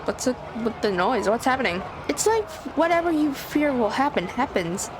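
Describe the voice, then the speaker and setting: A woman's voice: sits high, big pitch swings, normal speed, noisy background. A woman streamer giving casual, exclamatory commentary while playing a game. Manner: exclamatory, reactive. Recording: game music behind.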